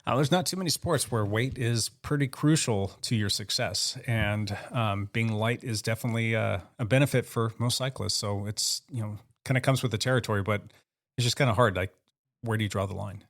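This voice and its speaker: A man's voice: on the low side, fairly quick. A man co-hosting a cycling podcast, thinking aloud in a casual roundtable chat, hedging and circling back with questions. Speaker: podcast co-host.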